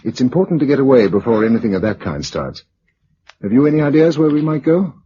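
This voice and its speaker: A level-headed British survivor of a catastrophe, played by a male radio actor, clipped and matter-of-fact. His pitch moves freely as he plans. The recording is dull, with faint noise behind him. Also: sing-song, fast, lightly acted. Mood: urgent, grave. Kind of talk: British radio drama acting.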